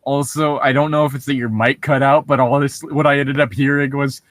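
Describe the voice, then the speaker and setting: A man's voice: mid-range voice, flat delivery, brisk, a bit bright, no echo, clean studio sound. A man co-hosting a show, reading stories aloud and breaking off for wry, nitpicking comments in a casual way. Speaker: podcast co-host reading and mocking internet horror stories.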